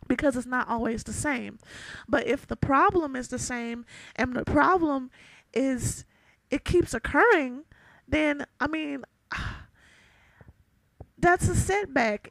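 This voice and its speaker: A young woman with a raspy voice, ranting heatedly and emphatically in a vlog, repeating her points. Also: high-pitched, no echo, poor audio. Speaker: vlogger. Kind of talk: ranting to camera.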